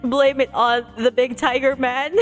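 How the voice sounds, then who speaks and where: Female game streamer, giggly and expressive, unhurried delivery, fairly high voice. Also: faint music behind. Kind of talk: livestream chat and visual novel read-aloud.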